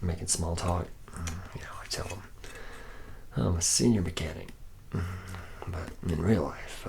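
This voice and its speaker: A man's voice: low-pitched, gravelly, a little hiss or hum. A man playing a boozy, charismatic slacker robot mechanic in an ASMR roleplay, rambling in slangy, buddy-buddy talk to a trainee. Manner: rambling, slangy, buddy-buddy, slightly self-deprecating. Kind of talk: improvised ASMR roleplay, addressing the listener as a trainee.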